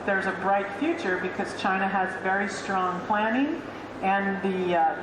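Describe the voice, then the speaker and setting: A woman on an astronaut panel answering fluently and earnestly in a large conference hall. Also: medium pitch. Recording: very echoey hall with noise.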